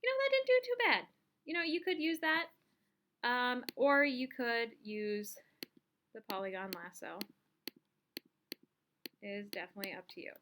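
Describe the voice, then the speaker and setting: A woman giving a casual step-by-step software tutorial, thinking aloud as she demonstrates each action. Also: on the high side, animated, normal speed, long pauses. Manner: informal, instructional, thinking aloud while demonstrating. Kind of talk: casual step-by-step screen-recorded lesson.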